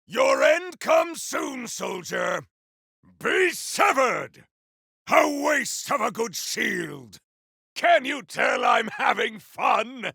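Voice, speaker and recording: Gravelly, grandiose warrior. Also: male voice, very high-pitched, sing-song, very slow, no room sound, clean studio sound, full character voice. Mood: battle fury. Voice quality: gravelly, forceful. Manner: theatrical, declamatory, formal. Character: proud, battle-hungry warrior with grandiose, formal speech. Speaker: video game voice actor. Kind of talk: video game combat barks.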